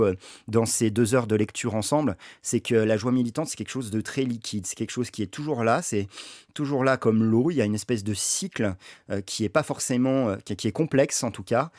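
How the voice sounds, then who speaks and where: A man's voice: mid-range voice, darkish tone, no room sound. Guy hosting a reading stream, lots of umm and restarts, dry close mic. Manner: hesitant, many fillers and restarts. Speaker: stream host reading a book aloud. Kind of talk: casual livestream talk.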